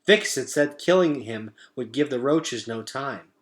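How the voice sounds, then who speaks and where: A man's voice: mid-range voice, expressive, measured pace. A man narrating a children's novel expressively as a read-aloud storyteller.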